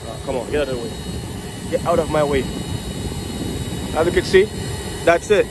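A man's voice: fairly high pitch, expressive, normal speed. A man working as an HVAC technician talks through a repair job in a casual, filler-heavy way while filming with a phone outdoors. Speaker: HVAC technician and channel host. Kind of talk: hands-on troubleshooting walkthrough. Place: outdoors at a rooftop unit. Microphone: phone camera held in one hand. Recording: phone audio with loud outdoor noise.

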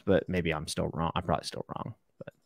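A man's voice: sits low, little pitch movement, brisk, creaky, no echo. A man in his early thirties hosting a casual livestream chat, self-deprecating, reading comments aloud. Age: 30s. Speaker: podcast host. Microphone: podcast mic with headphones.

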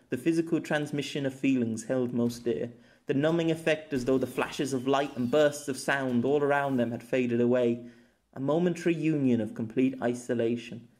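A man's voice: medium pitch, darkish tone. A man reading a scripted story as an amateur audiobook narrator.

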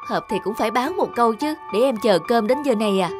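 Woman acting a highish-voiced, quick-tempered cartoon mother. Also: animated, over music, lightly acted. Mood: irritated, scolding. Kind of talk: cartoon dialogue.

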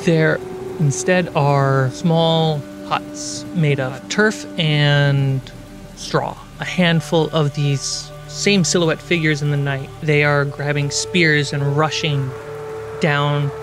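A man's voice: a little high, steady tone, takes it slowly. Man narrating as game master over music. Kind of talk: narrating a tabletop fantasy game.

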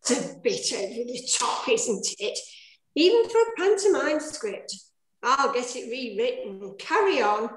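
A woman narrating and directing a scripted amateur pantomime over a video call, with declamatory, deliberate delivery. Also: on the high side, sing-song, echoey room, poor audio. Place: indoor room at home.

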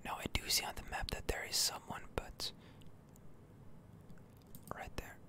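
A gamer whispering ASMR commentary over gameplay, close to the microphone, musing in an unhurried, hesitant way. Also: bright, forceful tone.

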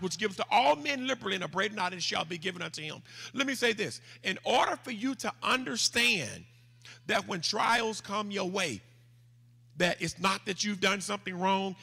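A man in his forties with a Southern US accent, preaching a sermon at a pulpit with a handheld mic and reading scripture slowly and deliberately. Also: high-pitched, gravelly, bright, forceful tone. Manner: leads the congregation in call-and-repeat, reads scripture slowly and deliberately. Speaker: pastor. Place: large church sanctuary, standing at a pulpit. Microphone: handheld microphone. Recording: church hall with long reverb, faint music.

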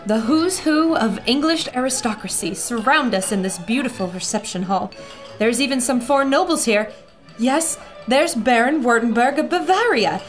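Actress as a high-voiced, observant lady reporter. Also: expressive, normal speed, faint music behind, lightly acted. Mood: intrigued. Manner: descriptive, storytelling. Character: observant woman reporter narrating her adventure. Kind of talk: audio adventure drama acting, descriptive.

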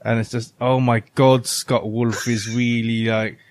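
A man's voice: mid-range voice, flat delivery, measured pace, no echo. A man co-hosting a podcast in casual, conversational discussion. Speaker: podcast co-host.

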